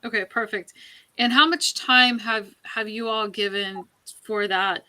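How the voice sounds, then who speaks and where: A woman conducts an interview in a bright, crisp-edged voice of average pitch. She asks conversationally, acknowledges with an okay, and restates what she has heard to confirm it. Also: fairly even intonation, unhurried, rough recording. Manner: acknowledges with okay, then restates and checks details.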